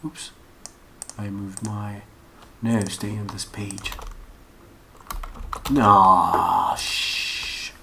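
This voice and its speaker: A man's voice: lowish voice, level tone, takes it slowly, long pauses, darkish tone. A man giving a casual, unscripted screen-share tutorial, rambling and thinking aloud as he searches. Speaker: online course instructor. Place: at a computer. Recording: noisy room with keyboard and mouse clicks. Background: typing and mouse clicks.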